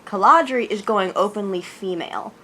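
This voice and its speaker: A woman's voice: mid-range voice, moderate pace, fairly bright tone. A woman taking part in a book discussion, explaining her point.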